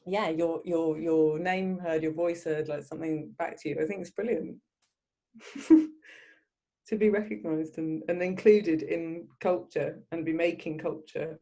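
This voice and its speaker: A woman's voice: low voice, steady tone, a little slow, mellow. A woman in a casual panel discussion, speaking informally and tentatively, with loose, broken phrasing.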